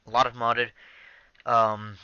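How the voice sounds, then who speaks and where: A man's voice: mid-range voice, flat delivery, slow pace, bright, forceful tone, clean tone, no echo, dull audio. Young man rambling over gameplay. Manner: rambling, many ums, self-interrupting. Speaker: gaming channel host. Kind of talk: casual gameplay commentary. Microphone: headset.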